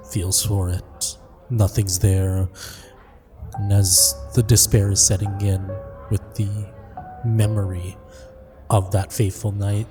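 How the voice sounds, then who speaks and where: A male tabletop player narrates his character's actions dramatically. He speaks very slowly in a low voice over clear music.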